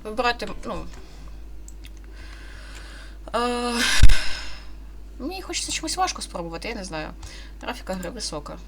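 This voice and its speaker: A woman's voice: mid-range voice, very animated, normal speed, creaky, long pauses, fairly bright tone, slight background noise. A woman in her twenties giving casual let's-play commentary in a hesitant, rambling way, often correcting herself. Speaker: gaming video host. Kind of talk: casual let's-play commentary, reading game dialogue aloud.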